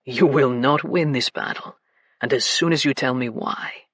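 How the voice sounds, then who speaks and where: A woman voicing a commanding, possessive grown man who speaks as a low, coaxing murmur. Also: normal speed, husky, dry recording, studio quality.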